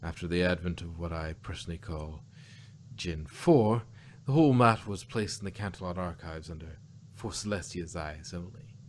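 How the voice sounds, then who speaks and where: A solemn, ancient pony queen acted by a man in a low register. She tells her tale in a measured, confiding way, as in a storybook fan-fiction reading. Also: darkish tone, slight background noise, close to an everyday voice. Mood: grave, secretive.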